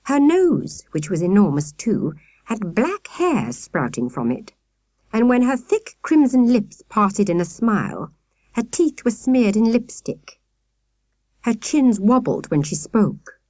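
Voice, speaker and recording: A woman reading a children's audiobook in an expressive storytelling manner. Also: on the high side, slow, no echo. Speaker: audiobook narrator. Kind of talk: scripted children's story narration.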